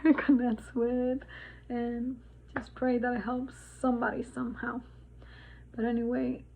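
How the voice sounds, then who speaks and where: A woman in her forties from the Dominican Republic, chatting casually in a spiritual vlog, rambling with many fillers and laughs. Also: a little high. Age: 40s. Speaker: vlogger. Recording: tinny phone-like sound.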